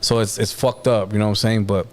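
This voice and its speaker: A man's voice: fairly low pitch, level tone, fast. Laid-back man hosting casual chat. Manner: laid-back, asks questions, agreeable.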